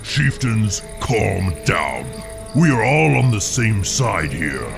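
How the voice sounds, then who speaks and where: A man playing a calm, level-headed orc with a gravelly voice, measured and placating, in a fantasy game. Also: on the low side, full character voice. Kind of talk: fantasy game cutscene acting. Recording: loud music over the voice.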